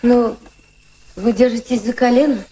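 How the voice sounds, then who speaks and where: Actress as a high-voiced, mellow, insistent society lady. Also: steady tone, measured pace, slight background noise, natural voice, poor audio. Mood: concerned. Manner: solicitous, firmly commanding. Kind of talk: radio play acting.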